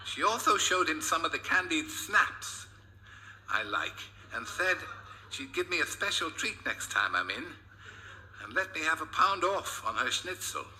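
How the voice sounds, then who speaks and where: A man acts a hapless storybook husband who innocently over-explains. The voice is pitched up and bright, eager and naive. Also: sing-song, measured pace, a little hiss or hum, exaggerated voice, rough recording.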